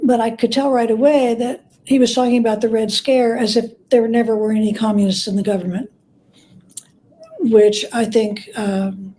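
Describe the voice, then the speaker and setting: A woman with a fairly high, soft-edged and mellow voice talks as an interview guest. She explains in a conversational way, pausing and feeling for her words as she thinks. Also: little pitch movement, rough recording. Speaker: guest author, biographer.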